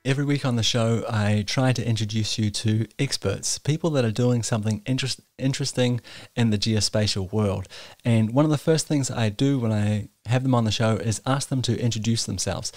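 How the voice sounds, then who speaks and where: A man's voice: on the low side, darkish tone, no echo. A man, hosting a podcast and telling his own story in a candid, self-deprecating way, with small restarts. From New Zealand. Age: 40s. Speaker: podcast host. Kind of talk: personal storytelling monologue.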